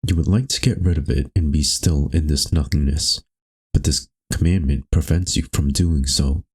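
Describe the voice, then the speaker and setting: A man reading scripted second-person narration for a dark existential story in a solemn, measured, brooding manner. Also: very deep voice, slightly rough.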